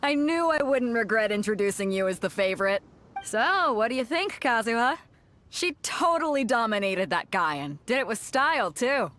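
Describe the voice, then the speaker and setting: A woman's voice: fairly high pitch, lively intonation, moderate pace, fairly bright tone, slight background noise. A woman playing a bold, hearty ship captain and tournament host in a video game, with a confident, teasing, showy manner. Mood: pleased, teasing. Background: game music.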